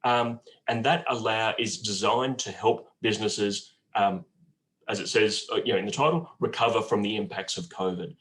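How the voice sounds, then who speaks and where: A man in his forties with a croaky cold voice, speaking haltingly with many fillers as a bank guest on a webinar. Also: lowish voice, steady tone, a bit bright, low-quality recording. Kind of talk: webinar talk answering questions. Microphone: video call.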